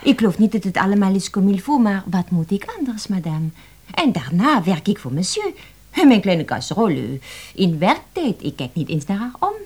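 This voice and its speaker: An actress playing a chatty, unflappable young French cook in a radio play, with a French accent and cheerful talk peppered with French words. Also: female voice, medium pitch, sing-song, moderate pace, darkish tone, slightly rough. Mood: protesting, chatty.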